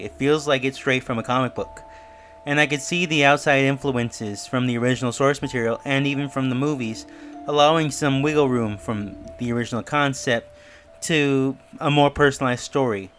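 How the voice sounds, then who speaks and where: A man's voice: medium pitch, a bit bright. A man giving a casual review and theory commentary in a musing, speculative way. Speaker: YouTube reviewer. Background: music.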